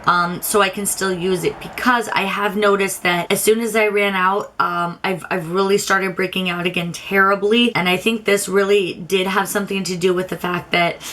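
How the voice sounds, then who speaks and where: A young adult woman beauty vlogger talking casually through product reviews, candid and rambling with frequent restarts, her voice a little raspy. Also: medium pitch, fairly even intonation, normal speed, hardly any pauses, a bit bright. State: slightly raspy voice.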